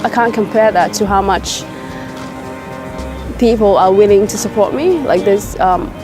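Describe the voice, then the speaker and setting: A young woman speaks in a measured, thoughtful way. She sits outdoors in a park for a documentary interview, with music playing clearly behind her. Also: mid-range voice. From South Sudan. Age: young adult.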